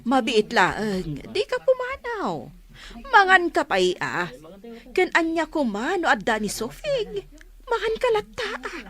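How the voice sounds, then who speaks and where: Lively, hospitable village woman. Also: high-pitched, measured pace, exaggerated voice. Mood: pleading. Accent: Ilocano, northern Philippines. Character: peaceable, hospitable village woman hosting her birthday. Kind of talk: radio comedy drama acting.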